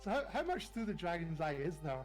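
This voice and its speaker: A man joins a game stream over voice chat, hedging and restarting as he talks. His voice is notably high for a man. Game music hums faintly underneath. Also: sing-song, quick pace. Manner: hedging with "like" and "in fairness", stammers. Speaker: guest on a voice chat. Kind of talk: casual chat.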